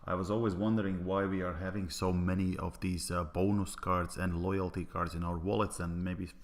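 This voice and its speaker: A man's voice: low voice, little pitch movement, fairly quick. A middle-aged man whose native language is Latvian, speaking English with a Latvian accent, giving an online business presentation, hesitant with many fillers and restarts.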